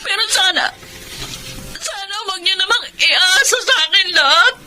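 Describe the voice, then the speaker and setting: Tearful overburdened daughter, radio drama: extremely high crying voice, very noisy audio. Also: female voice, lively intonation, normal speed. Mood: tearful, pleading. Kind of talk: Filipino radio drama acting.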